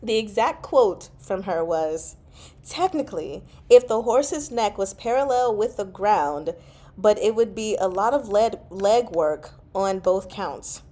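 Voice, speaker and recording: A woman hosting a casual book discussion, chatty and expressive, often pausing mid-thought. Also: mid-range voice. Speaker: book discussion vlog host. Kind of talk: casual live book chat.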